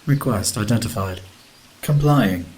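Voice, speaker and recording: A man playing a polite, emotionless space station computer in an audio drama, formal and measured. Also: fairly low pitch, animated, darkish tone, a little hiss or hum, poor audio. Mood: impassive, polite. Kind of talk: audio drama acting, formal system announcements. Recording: faint background ambience.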